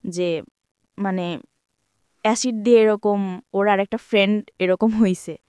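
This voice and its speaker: A woman's voice: mid-range voice, steady tone, measured pace, a little mellow, clean tone, no room sound. A woman of twenty-three with a Bangladeshi Bengali accent, telling her personal life story as an interview guest.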